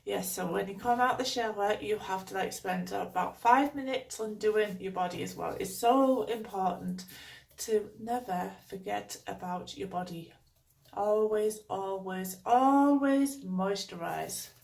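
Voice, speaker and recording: A woman with a Geordie accent, chatting casually through a beauty box unboxing at home, her voice croaky from a cold and her talk rambling with lots of fillers. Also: mid-range voice, unhurried, a little mellow, far from the mic, low-quality recording. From North East England. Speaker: beauty vlogger.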